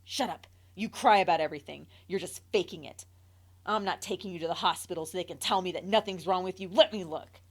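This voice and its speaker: A cruel mother snaps and scolds at full volume, curt and scornful, as a woman reader acts her out. Her accusations come out loud and rapid. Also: lowish voice.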